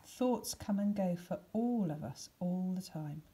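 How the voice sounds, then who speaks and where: Expressive, slow-paced woman reading a storybook. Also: fairly low pitch. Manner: deliberate, expressive reading with dramatic emphasis and hushed words. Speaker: teacher reading a picture book aloud. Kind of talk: expressive scripted storybook narration for children.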